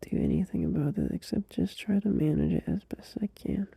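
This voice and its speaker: Male performer as a tender young boyfriend at night. Slow, breathy whisper with gravelly fry, close and dry. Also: sits high, huge pitch swings, no echo, exaggerated voice. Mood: tender, sleepy. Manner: soft drowsy whisper, unhurried, with small laughs. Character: gentle, loving young boyfriend soothing a partner at night. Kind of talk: ASMR audio roleplay. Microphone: close mic.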